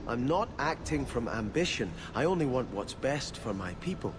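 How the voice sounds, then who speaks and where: A man's voice: mid-range voice, very animated, normal speed, close to an everyday voice. A man playing a pious, indignant exiled prince in a fantasy video game, earnest and declamatory. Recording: noisy game audio with ambience.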